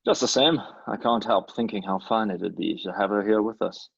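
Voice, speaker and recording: A man playing a gentle, wistful husband in a radio mystery, earnest and soothing. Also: on the low side, a little fast, gravelly, husky, dry recording, lo-fi sound. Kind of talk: radio play acting.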